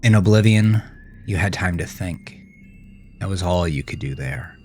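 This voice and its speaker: Deep, slow male horror narrator. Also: a little mellow, soft background music. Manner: grave, deliberate, suspenseful. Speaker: author and narrator of horror podcast. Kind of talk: dramatic second-person horror story narration.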